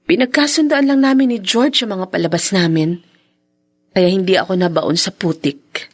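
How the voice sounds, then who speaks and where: A woman's voice: mid-range voice, normal speed, natural voice. A woman playing a proud, heartbroken young woman in an earnest, melodramatic radio drama. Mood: guarded, bitter. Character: wounded, proud young woman still secretly in love. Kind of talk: Tagalog radio drama acting.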